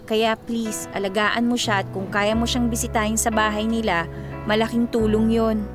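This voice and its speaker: A woman's voice: fairly high pitch, level tone, normal speed, lightly acted. An actress with a Filipino accent playing a caring, worried young woman in a Tagalog radio drama. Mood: earnest concern. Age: young adult. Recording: music clearly under the voice.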